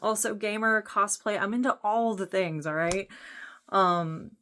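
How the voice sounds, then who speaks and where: A woman's voice: medium pitch, measured pace, bright, forceful tone. A woman in her late thirties speaking casually and candidly as a vlogger, with an earnest, informal, rambling manner. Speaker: vlogger introducing own channel. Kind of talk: casual, candid talk to camera.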